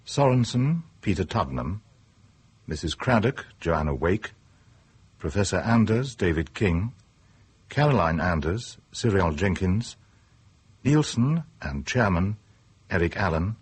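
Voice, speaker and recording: A man announcing radio drama cast credits in a formal, deliberate manner. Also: sits low, sing-song, breathy edge. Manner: formal, deliberate list reading.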